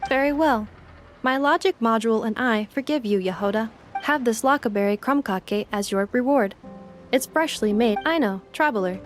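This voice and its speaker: A woman voicing a polite, literal-minded robot girl in a video game, speaking formally and deadpan. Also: on the high side, moderate pace, lightly acted. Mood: calm, formal. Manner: formal, deadpan, precise wording. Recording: faint game music behind. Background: faint game music.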